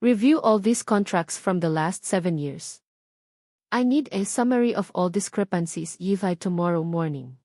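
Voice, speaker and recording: A woman's voice: fairly low pitch, animated, unhurried, dark tone, no echo, studio quality. Woman voicing a stern, blunt male CEO. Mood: commanding. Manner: serious, direct, commanding.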